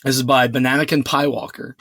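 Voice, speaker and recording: Husky young man with dry sarcasm. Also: medium pitch, level tone, fast talker, fairly bright tone, dry recording. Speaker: podcast co-host. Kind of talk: casual banter. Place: small closet.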